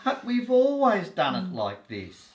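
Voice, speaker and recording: A man acts a doting, slow-witted older gentleman in a comic radio soap. He speaks slowly and earnestly, his pitch swinging widely. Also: huge pitch swings, dull audio, exaggerated voice. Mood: puzzled. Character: dim, doting older male sweetheart.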